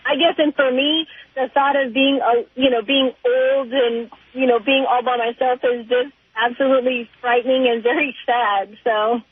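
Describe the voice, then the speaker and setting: A narrow, tinny telephone recording of a middle-aged woman. Her voice is high and husky, and she talks casually as a caller, hesitating and rambling as she goes. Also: fairly even intonation, moderate pace, no echo. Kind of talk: casual conversational phone call. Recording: tinny narrow phone line.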